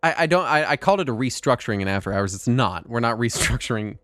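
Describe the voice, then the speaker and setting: A man's voice: mid-range voice, animated, quick pace, a bit bright, no echo, clean studio sound. A man hosting a casual podcast, a bit congested from a cold, talking in a rambling way with lots of ums and restarts. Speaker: podcast host.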